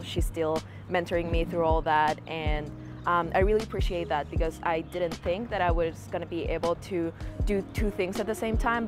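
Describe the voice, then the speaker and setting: A woman in her twenties from Peru, a student answering interview questions in an earnest, casual way with many fillers. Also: lowish voice. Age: 20s. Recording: music playing behind the voice.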